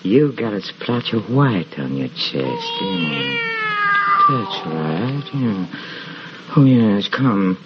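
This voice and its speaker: An actor playing a drunken, haunted husband in old-time radio horror, muttering haltingly and repeating himself. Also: male voice, fairly low pitch, wildly swooping pitch, full character voice. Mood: muttering, intrigued. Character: drunken, haunted husband, brooding and evasive about a cat. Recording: dull, hissy old radio recording.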